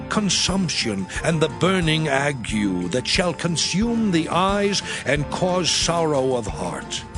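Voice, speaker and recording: Male scripture narrator, solemn and declamatory, with lively pitch movement and clear music underneath. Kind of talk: dramatized Bible reading. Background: prominent music.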